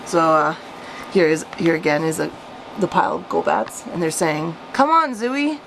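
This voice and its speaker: A woman's voice: deep voice, moderate pace, creaky, slight background noise, low-quality recording. A woman reading out her own childhood story with casual, amused, self-mocking commentary. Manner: self-mocking, chuckly, animated when reading. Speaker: storyteller, vlogger.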